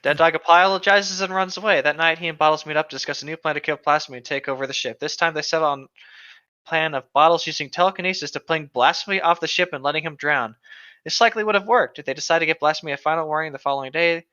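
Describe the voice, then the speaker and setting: Higher pitched guy podcasting, talks fast, chatty and reading stories, slightly muffled lo-fi mic. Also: sharp tone, clear, resonant tone. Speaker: podcast co-host. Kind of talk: casual chat and reading stories aloud. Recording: slightly dull, lo-fi microphone sound.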